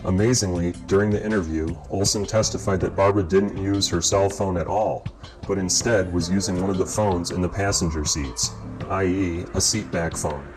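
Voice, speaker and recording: A man reading scripted documentary narration in a measured, formal way, as the narrator. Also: low voice, steady tone, poor audio. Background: guitar music.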